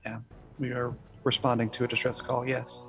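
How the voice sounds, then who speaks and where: A man of average pitch playing a tabletop game. He improvises in a casual, slightly hesitant way, with music playing behind him. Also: monotone, moderate pace.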